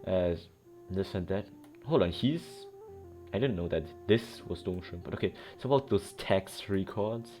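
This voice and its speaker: Man with a very low voice, dry sarcastic gameplay commentary, game music loud behind. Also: expressive, normal speed. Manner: dry, sarcastic asides, mumbling through quest text. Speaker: gamer playing through.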